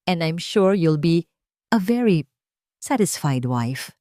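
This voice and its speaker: Woman narrator as smug businessman villain, falsely sympathetic, mocking, animated delivery, dry sound. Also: on the low side, takes it slowly, no echo. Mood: smug mockery. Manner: oily, mocking.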